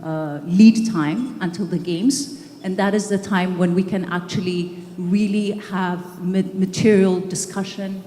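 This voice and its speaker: A woman's voice: lowish voice, steady tone, unhurried, dark tone. A middle-aged woman from Ethiopia speaking earnestly on a conference panel, with many fillers and restarts. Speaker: panelist, games organizer. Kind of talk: panel discussion. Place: stage at a conference hall. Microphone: handheld mic. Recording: echoey hall, faint ambience.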